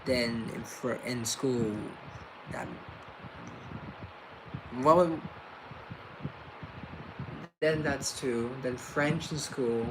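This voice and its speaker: A man's voice: mid-range voice, extremely slow, long pauses, background noise. A man who grew up in Colombia, chatting casually and playfully as host, joking around. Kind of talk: casual comedic chat.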